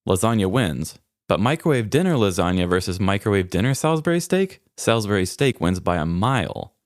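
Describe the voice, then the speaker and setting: A man's voice: fairly low pitch, sing-song, a little fast, dry recording, studio quality. A man co-hosting a podcast in casual comedic banter, telling things playfully. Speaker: podcast co-host.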